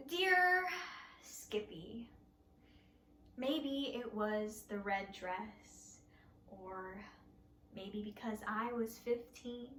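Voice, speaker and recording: A woman's voice: high-pitched, bright, forceful tone, roomy sound, slight background noise. A woman who is a writer, performing a spoken word poem with a theatrical, emotional and mocking delivery. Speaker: poet, writer.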